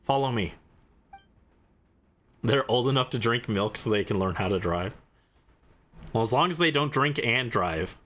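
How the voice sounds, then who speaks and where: A man's voice: mid-range voice, expressive, normal speed, fairly bright tone. A man hosting a livestream, chatting casually while he plays a game. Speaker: livestream host. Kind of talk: casual game stream chat, reading dialogue aloud.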